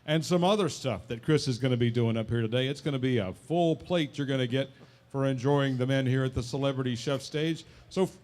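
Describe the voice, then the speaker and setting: A man's voice: medium pitch, fairly quick, a little mellow. A man, a stage emcee, introducing the next guests in an upbeat announcer manner.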